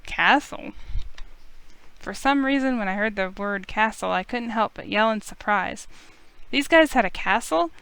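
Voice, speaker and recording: A woman with a smooth, clear voice, reading a visual novel aloud as expressive first-person story narration. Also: mid-range voice, a little slow, no room sound, low-quality recording.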